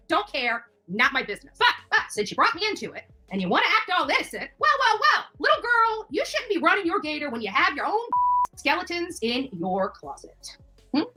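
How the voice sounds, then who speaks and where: A woman's voice: high voice, very animated, normal speed, sharp tone, faint music behind. A woman on a livestream from her home, combatively calling someone out with scornful, sassy jabs like "babe" and "honey". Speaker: YouTube live streamer. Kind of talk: ranting livestream callout.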